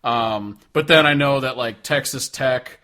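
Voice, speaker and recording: A man's voice: medium pitch, unhurried, pushed and bright. Blunt, sweary male podcast co-host. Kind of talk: casual opinionated music chat.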